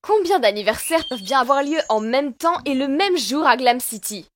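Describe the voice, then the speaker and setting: A woman's voice: sits high, lively intonation, moderate pace, slight background noise. A woman voicing a cautious, anxious young niece, a pet character in a French children's cartoon. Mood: encouraging. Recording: loud cartoon music behind.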